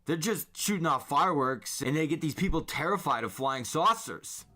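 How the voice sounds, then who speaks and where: A man's voice: fairly high pitch, lively intonation, moderate pace, pushed and bright, voice pushed up. A man voicing a teenage older brother in a story, casual and slyly friendly, with a breezy, probing way of talking. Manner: breezy, cajoling questioning.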